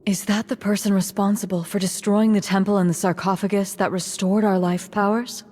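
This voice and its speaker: An actress playing a composed witch of a castle coven, formal and measured, in a video game. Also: mid-range voice, little pitch movement, darkish tone, natural voice. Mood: formal, cool. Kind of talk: dramatic video game cutscene acting. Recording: long hall reverb.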